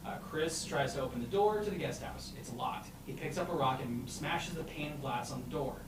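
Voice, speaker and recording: A man reads out screenplay directions at a live table read. His delivery is halting and informal. The sound is echoey and picked up from a distance, with heavy noise behind him. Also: on the high side. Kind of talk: live script read-through of a comedy screenplay. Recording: roomy, distant pickup with loud room noise.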